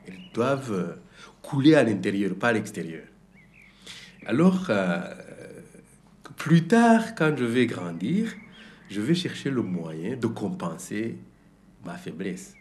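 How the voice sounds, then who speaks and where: A middle-aged man with a Rwandan accent, recounting his own life reflectively in short, deliberate phrases. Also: fairly low pitch, very animated, darkish tone, breathy edge. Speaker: interviewee, survivor giving testimony. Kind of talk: reflective personal testimony.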